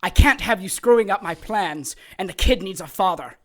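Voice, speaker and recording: A woman's voice: mid-range voice, expressive, normal speed, lightly acted. A woman playing a scheming, megalomaniac male sorcerer villain in an audio drama, theatrical and taunting. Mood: gloating, scheming.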